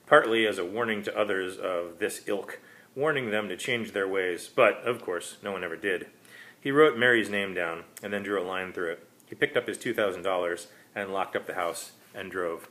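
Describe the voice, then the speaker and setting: A man's voice: low-pitched, normal speed, a bit bright, rough recording. A man, an author, reading his own short story as dramatic scripted narration.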